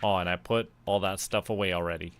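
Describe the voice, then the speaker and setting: A man's voice: low-pitched, fairly even intonation, quick pace, a bit bright. A man from Canada chatting casually as a game streamer, thinking aloud in a playful way. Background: music.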